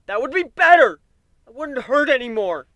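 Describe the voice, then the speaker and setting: A man voicing a distraught young stallion pony who yells in wavering rage and swears. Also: extremely high pitch, big pitch swings, moderate pace, no room sound, pitched above the performer's own voice, heavily acted. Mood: furious.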